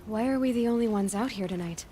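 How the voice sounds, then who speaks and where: An actress playing a determined, questioning young outcast huntress in a video game, direct and challenging. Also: female voice, medium pitch, quick pace, dark tone, slight background noise, lightly acted. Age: young adult.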